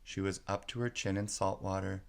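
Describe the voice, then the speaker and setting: A man reading a children's story aloud as narrator, in a measured, expressive way. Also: deep voice, gravelly.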